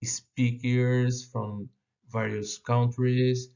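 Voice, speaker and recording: Slow, gravelly Brazilian man presenting. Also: mid-range voice, little pitch movement, warm tone, highs cut off. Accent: Brazilian Portuguese. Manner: halting non-native English with fillers and restarts. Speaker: conference speaker. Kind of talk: conference presentation.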